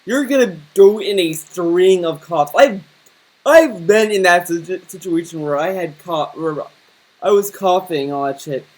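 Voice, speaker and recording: A man's voice: high voice, unhurried. A young man with a slightly raised voice rambles through a casual commentary rant. He keeps restarting his sentences and takes a mocking tone toward what he's reacting to. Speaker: commentary channel host.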